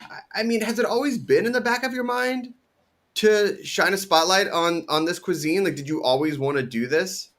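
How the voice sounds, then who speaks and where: Rambling man host with a higher voice. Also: normal speed, fairly bright tone. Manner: rambling, filler-heavy, enthusiastic. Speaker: radio show host. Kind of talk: casual interview talk.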